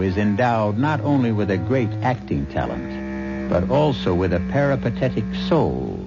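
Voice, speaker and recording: Man, radio drama narrator, low lively voice, wry and measured, dull old broadcast with music. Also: gravelly. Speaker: narrator and host.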